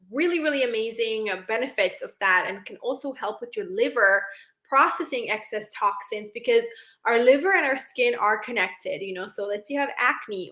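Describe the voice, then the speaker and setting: A woman's voice: a little high, sharp tone, echoey room. A woman from Sri Lanka, a doctor, giving a casual talk on healthy foods, chatty, with plenty of fillers and repeated words.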